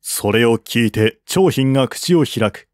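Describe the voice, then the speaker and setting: Male reader for literary audiobook narration, mid-range pitch, dry studio-like sound. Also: lively intonation, measured pace, no echo.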